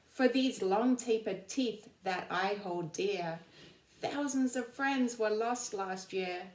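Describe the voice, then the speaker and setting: A woman voicing an enormous, proud elephant in slow, deliberate sing-song storybook verse. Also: medium pitch, voice pushed up, natural voice. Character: enormous proud elephant pleading to keep his tusks. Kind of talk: children's picture-book poem reading. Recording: roomy, echoing sound.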